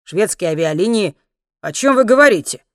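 An irritable man in a storybook drama, acted out by a woman. He is gruff and grudging, quick to snap and swear in exasperation. The sound is close and dry. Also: medium pitch, animated, fairly bright tone, no room sound, pitched above the performer's own voice, natural voice. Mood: disgusted, baffled. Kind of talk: acted audiobook dialogue.

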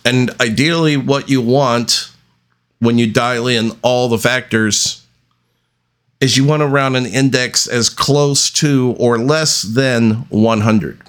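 A man hosting a podcast alone, talking off the cuff in a deliberate, halting way with long pauses between phrases. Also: medium pitch, steady tone, fairly bright tone. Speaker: podcast host. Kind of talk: unscripted solo podcast monologue.

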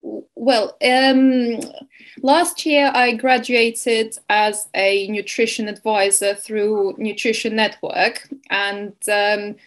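A woman's voice: medium pitch, fairly even intonation, takes it slowly. A young adult woman from Estonia, a keto coach, answering interview questions on a video call with many hesitations and restarts. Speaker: keto coach and nutrition advisor. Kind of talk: webinar interview.

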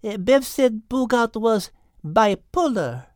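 A woman's voice: a little high, animated, takes it slowly, no room sound, clean studio sound. A woman voicing a polite, genteel French cat in a children's story podcast, speaking with a French accent. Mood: gracious.

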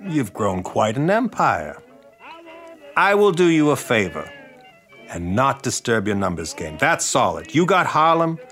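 An actor playing a smooth, menacing 1920s mobster boss in a radio drama, measured and courtly with a threat underneath. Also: male voice, medium pitch, a bit bright. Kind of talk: period radio drama acting.

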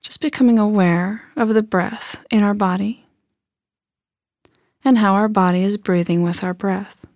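A woman leading a guided body scan meditation in a gentle, unhurried manner with soft phrase endings. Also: mid-range voice, fairly even intonation, no room sound, studio quality.

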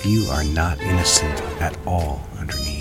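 Bir adamın oynadığı pes sesli, müşfik baba. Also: male voice, extremely low pitch, normal speed, over music. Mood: teasing, seductive. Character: indulgent, smooth-talking father and flirtatious lover. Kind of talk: audio drama acting.

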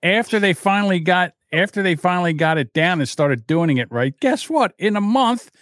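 A male podcast co-host with a fairly high voice that leaps all over the place as he cracks crude jokes. His voice comes through dry and close to the mic, with no room sound. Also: expressive, normal speed, no room sound. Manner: loud joking, crude wisecracks. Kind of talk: casual crude banter.

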